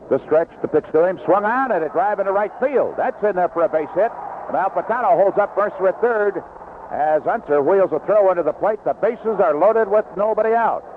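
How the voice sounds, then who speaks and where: An American man calls a baseball game in the style of 1970s radio, with short, clipped phrases. His pitch is mid-range and swings in a lively way. The sound is narrow like an old AM set, with clear noise around him. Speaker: baseball play-by-play announcer. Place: outdoor ballpark broadcast booth. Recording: dull, noisy vintage AM radio broadcast.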